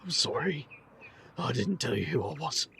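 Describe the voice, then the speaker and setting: Earnest, wry young magician for audio drama, acted, gravelly edge, lively pitch, noisy ambience. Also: normal speed. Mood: subdued, tender.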